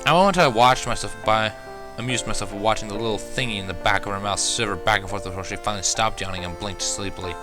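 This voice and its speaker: A man's voice: lowish voice, quick pace, music in the background. A man narrating a first-person fan fiction story, reading expressively as an amateur.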